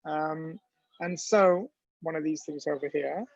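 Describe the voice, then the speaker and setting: A man's voice: fairly high pitch, a little slow, gravelly, no echo. A man giving a storytelling lecture with slides, talking conversationally with many ums and restarts, in a dull, dry lo-fi recording.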